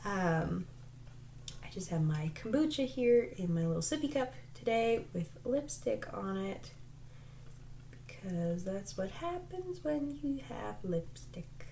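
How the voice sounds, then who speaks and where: A woman's voice: medium pitch, gravelly, a little hiss or hum. A woman hosting her crafting podcast in casual vlog chat, her voice stuffy and a little nasal from a cold, chatty and self-deprecating with lots of ums and likes. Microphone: desk microphone.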